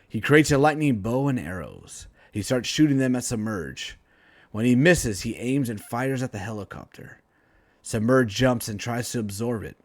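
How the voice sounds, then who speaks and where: A man reading a superhero story aloud in a dramatic, emphatic storytelling style with deliberate phrasing. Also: on the low side, dry recording. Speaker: author reading own story, writing teacher.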